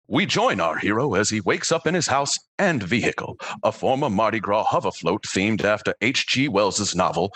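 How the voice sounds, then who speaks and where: A man playing a grandiose radio-serial narrator, pompous and theatrical, reading mock-dramatic comic narration. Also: fairly low pitch. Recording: loud guitar music bed.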